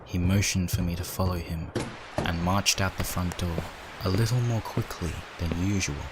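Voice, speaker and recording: A man with a deep, low voice narrates a dramatic first-person story. He reads from a script, with noticeable ambient sound behind him. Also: steady tone, normal speed, noisy background.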